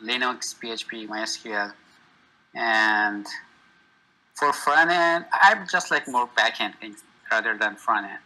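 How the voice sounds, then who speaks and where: A man from Iran, a software engineer talking casually on a video call, friendly and pausing as he lists things. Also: on the low side, poor audio. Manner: friendly, lists tech with short pauses. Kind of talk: casual networking chat.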